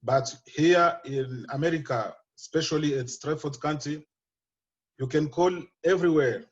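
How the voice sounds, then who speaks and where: A man from Africa, speaking halting non-native English with restarts, reads out his personal account of detention on a video call. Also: on the high side, slightly rough, lo-fi sound. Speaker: panelist sharing detention story.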